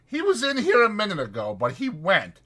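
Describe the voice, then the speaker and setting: Irritable ranch hand played by a male narrator, bossy and protective, pitched up, forceful, rustic American speech. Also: huge pitch swings, a little fast, exaggerated voice. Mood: brusque. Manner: brusque, scolding, rural American dialect.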